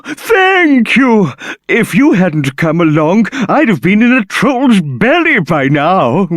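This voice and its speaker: A fussy, pompous official for a video game. His voice sits high and rises and falls dramatically, unhurried in pace. The delivery is effusive and theatrical. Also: no echo, studio quality, exaggerated voice. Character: fussy, self-important male government official. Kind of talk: video game character acting.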